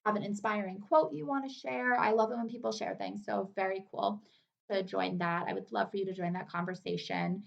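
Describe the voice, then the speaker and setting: A woman's voice: mid-range voice, steady tone, brisk, vocal fry, muffled recording. A woman hosting a podcast in an enthusiastic, informal, chatty way. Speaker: podcast host. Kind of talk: casual chat.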